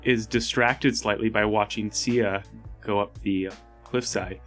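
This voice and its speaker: A man playing a tabletop game, chatting casually in an informal, halting, playful way. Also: lowish voice, fairly even intonation, fairly bright tone. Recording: music under the voice. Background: background music.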